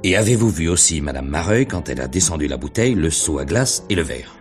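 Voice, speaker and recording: An actor playing a probing, sly middle-aged police inspector in a radio mystery play, questioning in a hesitant, deliberate way. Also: male voice, very deep voice, animated, soft background music. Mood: probing, wry. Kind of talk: French radio mystery play acting in 1950s manner.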